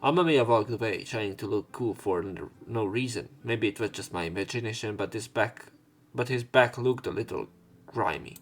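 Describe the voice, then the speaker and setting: A man's voice: on the low side, moderate pace, soft background music. A man reading a visual novel aloud as a let's play host, adding informal, sweary commentary.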